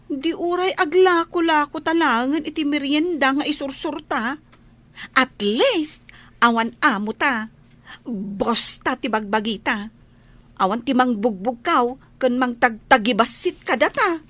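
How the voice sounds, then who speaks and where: A woman's voice: high-pitched, slow pace, a bit bright, no echo. A woman playing an emotional, stubborn young housemaid who pleads plaintively in a radio drama. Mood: pleading, insistent. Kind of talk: Ilocano radio drama acting.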